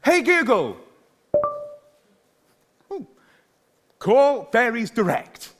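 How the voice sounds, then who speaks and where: A man playing a blustering, exasperated and hapless comic king in a pantomime, excitable and prone to stammering. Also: very high voice, drawn-out words, full character voice. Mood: excited. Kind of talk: broad pantomime stage comedy. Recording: faintly noisy live stage recording.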